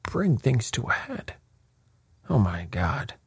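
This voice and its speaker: A man's voice: on the low side, a little slow, mellow, no echo, exaggerated voice. A man voicing a haggard, bewildered nobleman in an audiobook, flustered and spluttering. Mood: agitated, bewildered. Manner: flustered, breathy exclamations.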